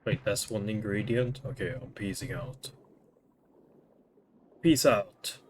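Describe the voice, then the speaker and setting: A man's voice: on the low side, takes it slowly, a little hiss or hum. A man streaming a game with casual, offhand, muttered commentary.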